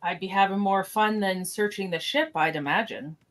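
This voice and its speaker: A woman's voice: mid-range voice, moderate pace, natural voice. Woman as a starship second in command, confident n flirty, mid-range voice, hobby radio play. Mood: flirtatious. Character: confident male starship first officer, flirtatious. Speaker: podcaster acting in script reenactment. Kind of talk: amateur comedic radio play reading.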